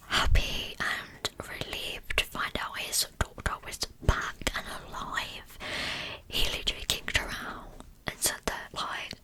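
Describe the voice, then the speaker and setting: Woman doing asmr true crime, all whispered, breathy with no voice in it. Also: rough recording.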